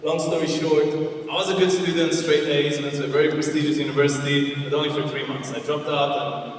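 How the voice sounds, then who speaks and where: A man's voice: on the high side, a little fast, a little hiss or hum. A man in his twenties from Afghanistan, hosting a conference on stage and working the crowd with questions. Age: 20s. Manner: energetic, engaging the audience with questions. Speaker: event host. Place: large convention hall. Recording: echoey hall, far from the mic.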